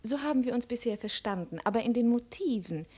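An actress playing a cold, shrewd young princess with a commanding, ironic and probing manner, in 1950s radio drama style. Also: female voice, fairly high pitch, expressive, fairly quick, warm tone, husky. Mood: suspicious, probing. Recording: 1950s radio studio recording.

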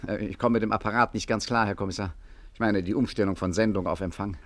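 Guy playing smug gentleman gangster, lowish voice, breezy n menacing, old radio play. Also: fairly even intonation, fast talker, natural voice. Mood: feigned obedience. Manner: breezy, mocking, self-assured. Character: cocky, coolly threatening gentleman gangster. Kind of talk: German radio play acting, 1950s.

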